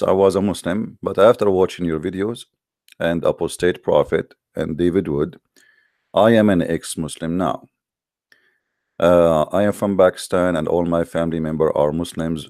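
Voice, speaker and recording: A man's voice: deep voice, a little mellow, dry recording, studio quality. A man with a non-native English accent hosting an online religious call-in show, speaking informally in a challenging, confrontational manner. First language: not English. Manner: challenging, confrontational, with rhetorical questions. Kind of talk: informal polemical monologue to live online audience.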